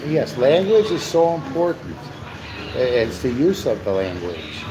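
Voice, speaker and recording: A man's voice: mid-range voice, very animated, unhurried. A man co-hosting a live stream, chatting in a rambling, preachy way with lots of stammered restarts. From USA. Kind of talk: rambling casual chat. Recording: noisy live stream with background hum.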